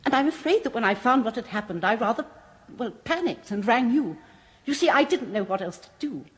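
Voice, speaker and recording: An actress playing a composed woman who runs a waxworks museum, speaking briskly and precisely, in a vintage radio drama. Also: on the high side, expressive, husky. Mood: shaken. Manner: brisk, precise, faintly macabre relish. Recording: muffled old radio broadcast.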